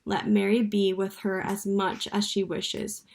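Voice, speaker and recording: Woman reader as a calm, confident storybook advice-giver. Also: medium pitch, a little slow, gravelly. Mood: reassuring. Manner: soothing and sure.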